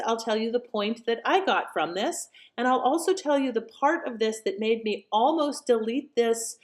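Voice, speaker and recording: Lively, fairly high-voiced mature woman. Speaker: podcast host. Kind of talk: casual introduction to an old radio show.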